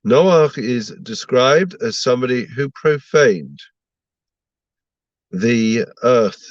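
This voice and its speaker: A man's voice: medium pitch, lively intonation, slow pace, dry recording, lo-fi sound. A man giving an online Torah lesson to viewers, sounding stuffed up from a slight cold and teaching through rhetorical questions. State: blocked up with a cold. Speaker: rabbi giving a Torah class.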